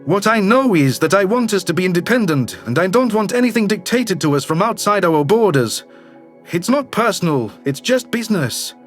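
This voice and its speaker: A man's voice: high voice, expressive, soft background music. A man voicing a shifty, self-centered alien governor in a sci-fi audio drama, speaking formally and curtly. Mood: guarded, insistent. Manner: formal, measured, curt. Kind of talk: science fiction audio drama acting.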